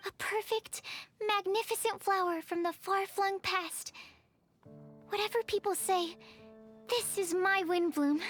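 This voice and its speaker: Eager high-voiced young alchemist girl. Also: steady tone, unhurried, fairly bright tone. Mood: proud, enthusiastic. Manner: eager, gushing explanations. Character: earnest young female alchemist, nerdy and enthusiastic. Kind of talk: anime-style video game acting. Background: game music.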